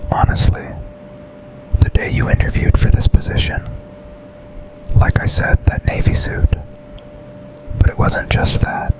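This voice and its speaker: Guy doing an asmr boyfriend boss, all whispered and super slow, intimate, smitten, tender. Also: lightly acted, low-quality recording. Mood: intimate, confessional. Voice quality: breathy whisper. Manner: slow, intimate, deliberate delivery with pauses. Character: smitten male office boss, secret lover, intense and tender.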